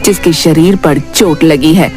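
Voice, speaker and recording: A woman giving scripted, dramatic storytelling narration for an animated moral story. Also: low voice, a little slow. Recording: loud music behind the voice. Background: music.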